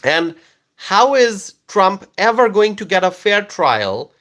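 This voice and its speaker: A man from Bangladesh, a native Bengali speaker, hosts a live stream and reads out viewer comments one by one. His voice sits a little high, and he talks slowly, repeating phrases as he coaxes viewers to respond. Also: very animated, a bit bright. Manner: slow, repetitive, coaxing viewers.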